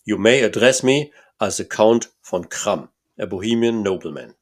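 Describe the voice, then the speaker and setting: A man voicing a huge, masked male nobleman with a deep, harsh voice and a German accent, speaking formally and emphatically. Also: normal speed, lightly acted. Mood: imperious.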